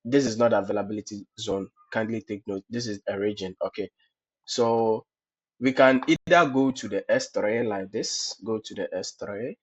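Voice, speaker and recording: A low-pitched man with a husky voice and a slight cold talks through a cloud lab on screen, one step at a time. He keeps tying his steps together with "okay, so" and little fillers. The audio is dull and lo-fi, like a home screencast. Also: moderate pace. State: has a cold. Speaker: tutorial host.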